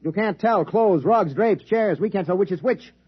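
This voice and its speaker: A man's voice: high voice, moderate pace, husky. A man acting as an intense scientist in a 1950s radio drama, explaining urgently and insistently. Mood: urgent, exasperated. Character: intense, urgent male scientist reasoning out a threat. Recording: dull 1950s radio recording.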